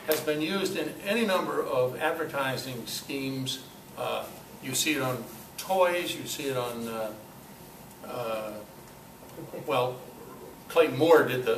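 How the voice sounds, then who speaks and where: Casting: older male presenter, conversational and halting, middle register, echoey meeting room recording. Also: mid-range voice. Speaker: speaker giving a club talk. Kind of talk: informal talk to audience. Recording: echoey noisy room, distant mic.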